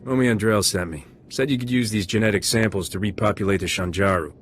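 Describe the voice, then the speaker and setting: An actor playing a cocky, wisecracking space smuggler in a video game, with a glib, dry delivery. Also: male voice, on the low side, fast talker, slight background noise, close to an everyday voice.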